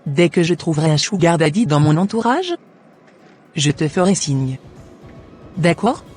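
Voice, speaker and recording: A man's voice: fairly high pitch, expressive, measured pace, a little mellow, husky, soft background music. A man voicing a self-assured, teasing young woman friend in a cartoon. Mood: cocky. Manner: cocky, teasing, confident.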